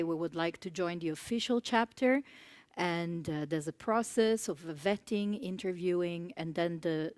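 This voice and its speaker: A woman from Italy with an Italian accent, giving a relaxed conference talk to a room, often laughing lightly and filling pauses with "uh" and "um". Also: mid-range voice, no room sound. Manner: chatty, laughs often between words; many fillers and restarts. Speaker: conference speaker.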